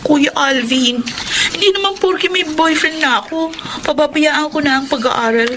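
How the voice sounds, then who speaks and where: An actress playing a pleading younger sister, a university student, in a Filipino radio drama. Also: female voice, sits high, normal speed, background noise, lightly acted. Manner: pleading, earnest.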